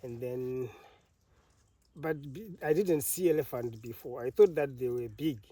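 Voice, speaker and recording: A middle-aged man with a Zimbabwean accent, an elephant carer telling his story in an interview in a halting way, laughing often. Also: medium pitch, mellow, dry recording, far from the mic.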